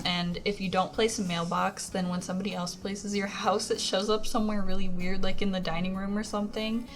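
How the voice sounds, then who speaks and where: A hoarse young woman giving a chatty, rambling voice-over while she talks through her build. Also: lowish voice. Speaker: Sims speed-build YouTuber. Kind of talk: casual voice-over commentary. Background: background music.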